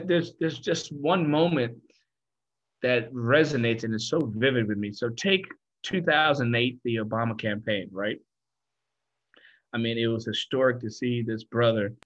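A man from Liberia talking as an interview guest, telling his story in a thoughtful, deliberate way. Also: mid-range voice, fairly bright tone, highs cut off. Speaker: guest, founder of a financial firm.

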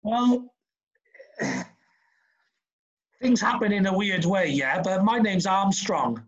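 A man's voice: sits high, huge pitch swings, extremely slow, highs cut off. A man with a Welsh accent hosting a podcast, chatting casually over a video call with lots of fillers and restarts. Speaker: podcast host.

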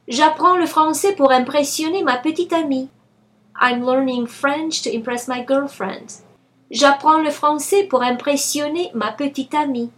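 A woman with a high-pitched voice hosts a scripted language lesson. She speaks very slowly and deliberately, setting each phrase clearly apart as a teacher does for learners. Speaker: language teacher and podcast host.

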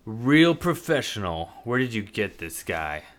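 A man's voice: lowish voice, sing-song, a little slow, fairly bright tone. A man voicing a flustered, foul-mouthed bald male criminal. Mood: relieved sarcastic. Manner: cursing, flustered.

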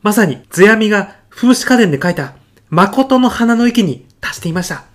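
A man playing an earnest physicist in an audio comedy sketch, speaking formally and reverently of his mentor with deadpan, grandiose solemnity. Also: sits high, normal speed. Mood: proud, impassioned. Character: earnest, reverent male physicist, devoted protégé of late professor.

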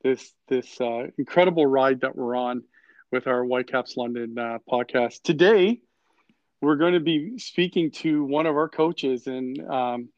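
A man hosting a podcast conversationally, effusive and halting as he repeats his phrases. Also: medium pitch, no echo, dull audio. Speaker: podcast host.

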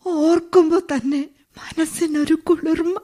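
A woman playing a lonely, widowed old mother in a radio play, talking slowly and tearfully with soft sighs. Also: very high voice, fairly even intonation. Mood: sorrowful. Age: older. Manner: slow, tearful, tender speech with long sighs. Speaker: radio drama actress.

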